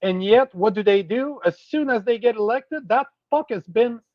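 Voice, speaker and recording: A man's voice: high-pitched, animated, normal speed, dry recording, muffled recording. A Canadian man with a French accent in a casual political chat, blunt, scornful and full of swearing.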